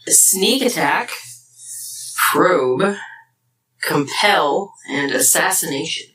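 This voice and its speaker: Slow, bright, hesitant woman at game table. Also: lowish voice, animated. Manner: hesitant, pausing to think. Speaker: podcast player introducing her character. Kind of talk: casual tabletop game chat. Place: indoor room, group around a table. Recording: echoey, distant mic, faint noise.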